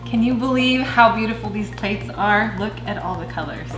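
A woman's voice: medium pitch, normal speed. A woman in her forties co-hosting a home cooking show, sharing casual, enthusiastic kitchen tips. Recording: echoey kitchen with music underneath. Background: background music.

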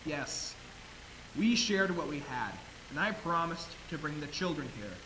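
A man's voice: fairly high pitch, animated, measured pace, pushed and bright. A young man acting an anxious, loyal helper of nuns in a stage play, agitated and emphatic. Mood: heavy-hearted. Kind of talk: amateur stage acting in a high school play. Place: theater stage, indoors. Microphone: distant camera. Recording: echoey stage, hissy distant camera.

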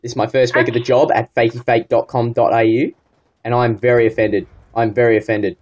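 A man's voice: mid-range voice, little pitch movement, a little fast, a little mellow, muffled recording, close to an everyday voice. Guy doing a whiny phone scammer on a prank call, acts all hurt, keeps pushing and repeating. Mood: pushy, offended. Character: pushy, easily offended phone scammer in first week. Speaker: prank caller.

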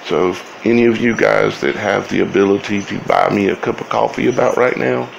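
A man giving informal scripture commentary as a video host, speaking in halting, broken phrases with long pauses. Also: lowish voice, fairly even intonation, creaky, dull audio, a little hiss or hum.

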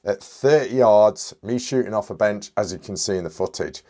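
A man, reviewing an air rifle in an enthusiastic, chatty way while hoarse and a bit stuffy from a cold. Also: fairly low pitch, moderate pace, mellow. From United Kingdom. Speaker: airgun reviewer. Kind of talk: casual product review.

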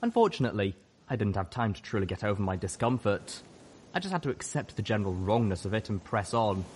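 A man's voice: fairly low pitch, very animated, quick pace, a little hiss or hum. A man narrating a scripted story as an audiobook reader.

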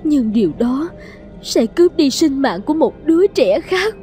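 Lady doing a troubled humble mom in a cartoon, earnest and pleading, soft dark tone, faint music. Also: high voice, big pitch swings, normal speed. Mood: anxious pleading. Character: humble, troubled middle-aged servant mother. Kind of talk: cartoon fairy-tale dubbing.

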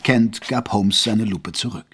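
An actor narrating a detective mystery audio drama in a measured, descriptive way. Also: male voice, on the low side, fairly bright tone, a little hiss or hum. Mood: measured, atmospheric. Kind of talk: scripted narration.

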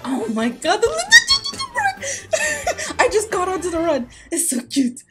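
Young woman reacting, lively and giggly. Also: very high-pitched, normal speed. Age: young adult. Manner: giggly, gushing. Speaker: reaction video host. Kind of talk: casual reaction commentary. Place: small room indoors. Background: music and game trailer audio.

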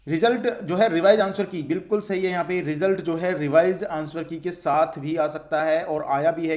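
A man's voice: on the high side, a little mellow. A man coaching students in an informal explainer, repeating himself and answering his own questions reassuringly. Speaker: exam-update coach and channel host. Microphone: voice-over for a screen recording.

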